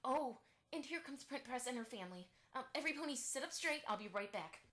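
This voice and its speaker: A woman's voice: high-pitched, lively intonation, brisk, fairly bright tone. A woman voicing a chatty, eager-to-please pony mother in a fan radio play, effusive and a little anxious. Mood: excited, bossy.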